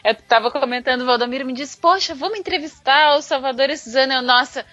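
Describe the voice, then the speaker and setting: A woman chatting cheerfully as a podcast guest, quick and laughing. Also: high voice, sharp tone, no room sound. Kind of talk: casual podcast chat. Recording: muffled lo-fi audio.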